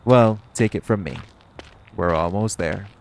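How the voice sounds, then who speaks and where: A man's voice: sits low, unhurried, darkish tone, a little hiss or hum, low-quality recording. A man playing a cocky, teasing vampire in an audio roleplay, bantering with a hunter in a smug, sardonic, flirty way and swearing freely. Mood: exasperated. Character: cocky, teasing, hungry male vampire carrying his hunter rival. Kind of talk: audio roleplay acting, addressed to the listener.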